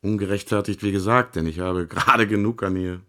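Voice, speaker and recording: A male actor playing a charming, self-pitying artist who justifies himself in a confiding, theatrical, halting radio drama monologue. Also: fairly low pitch, fairly even intonation, fairly bright tone, lightly acted. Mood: aggrieved, confessional.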